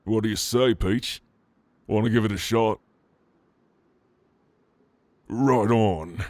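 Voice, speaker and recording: Man acting a slow-talking, flirtatious orc adventurer. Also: lowish voice, no echo. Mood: encouraging, casual. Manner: teasing, intimate, casual drawl with soft chuckles. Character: flirtatious male orc adventurer, teasing, protective, gentlemanly. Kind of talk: ASMR roleplay addressed to the listener.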